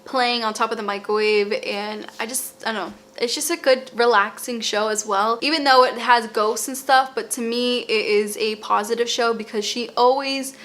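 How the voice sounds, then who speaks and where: A woman's voice: a little high, fairly even intonation, moderate pace, few pauses, a bit bright. A woman with a Boston accent, casually recommending TV shows in a rambling, chatty way with fillers and restarts. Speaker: lifestyle vlogger.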